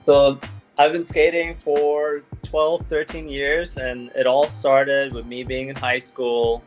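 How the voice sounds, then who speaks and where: Young man from belgium, relaxed chatty guest, average pitch, faint music behind. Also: takes it slowly. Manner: laid-back, many fillers and "like". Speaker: guest skater. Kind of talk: casual interview chat.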